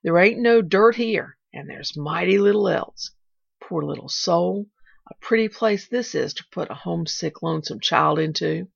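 A woman's voice: lowish voice, moderate pace, creaky, slightly rough, no room sound, heavily acted. A woman reading the part of a feisty, resentful housemaid who talks in plain country grammar, on a dull lo-fi recording. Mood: indignant. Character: feisty young maid, resentful and blunt.

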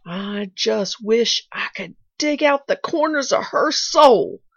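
A woman's voice: fairly low pitch, animated, measured pace, creaky, husky, no room sound, full character voice. A woman reading the part of a feisty, resentful housemaid who talks in plain country grammar, on a dull lo-fi recording. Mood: indignant. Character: feisty young maid, resentful and blunt.

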